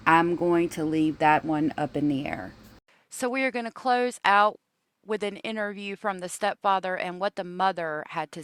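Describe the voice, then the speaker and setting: Woman, slightly low voice, informal case commentary, true-crime video host, dry close sound. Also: a bit bright, clean tone, no echo.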